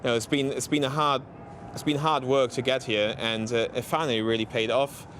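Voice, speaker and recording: A man with a German accent, an athlete giving an interview, speaking haltingly with many fillers and restarts. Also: medium pitch, steady tone, fairly bright tone, a little hiss or hum. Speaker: interviewed cyclist. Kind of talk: post-race interview.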